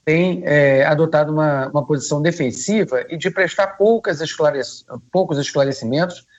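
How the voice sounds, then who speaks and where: Hoarse man on a dull radio line. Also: fairly high pitch. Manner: measured, with frequent hesitations. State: hoarse from a cold. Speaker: political commentator. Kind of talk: radio news commentary.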